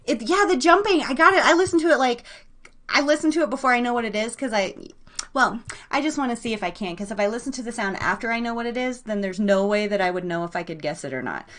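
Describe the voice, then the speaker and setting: A woman with an excitable, informal manner, chatting casually as the live host of a guessing game and cheering on players in slangy language. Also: on the high side, fast. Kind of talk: casual live radio game-show chat.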